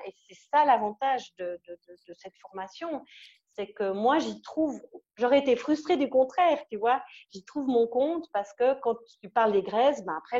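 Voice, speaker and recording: A woman from Switzerland with a Swiss French accent, giving an enthusiastic, halting testimonial full of fillers and restarts. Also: fairly high pitch, darkish tone, highs cut off. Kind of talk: casual testimonial interview.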